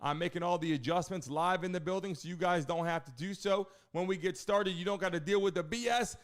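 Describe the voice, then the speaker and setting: A man's voice: sits high, fairly quick. A man hosting a casual live sports stream, talking to viewers in an informal hype style with catchphrases.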